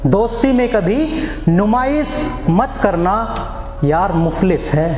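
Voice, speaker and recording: A man's voice: sits high, expressive, slow pace, darkish tone, reverberant, big-room sound, soft background music. A young man from Uttar Pradesh reciting his poems at an open mic, declaiming and repeating lines for emphasis on a stand mic. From Uttar Pradesh, India. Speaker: poet. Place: small indoor open mic venue.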